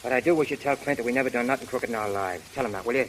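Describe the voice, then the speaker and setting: Radio play role, male actor: slangy, loyal boxing sidekick, mid-pitched, rough grammar, hissy 1930s sound. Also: racing, close to an everyday voice, low-quality recording. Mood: resigned, bitter. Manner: slangy, ungrammatical working-class talk. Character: streetwise, plain-spoken, loyal male boxing buddy, slangy. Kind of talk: 1930s radio drama acting.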